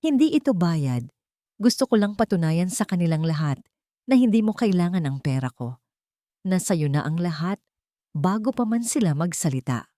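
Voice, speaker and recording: A woman's voice: fairly low pitch, sing-song, normal speed, mellow, no echo. A woman narrator plays a wealthy lady from a romance audio story, fiercely protective and devoted. She speaks with emphatic, commanding earnestness, in a dry, close sound. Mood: apologetic, earnest.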